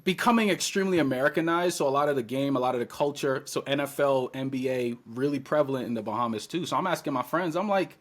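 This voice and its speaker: A man in his thirties from Trinidad and Tobago, a podcast guest chatting casually and telling lively stories in which he acts out his own past words. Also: mid-range voice, quick pace, hardly any pauses.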